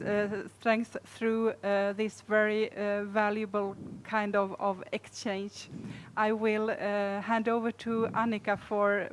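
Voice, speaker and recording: A woman's voice: medium pitch, a little hiss or hum. A woman representing a university, thanking a speaker and handing over with hesitant fillers. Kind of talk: seminar moderation. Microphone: video call.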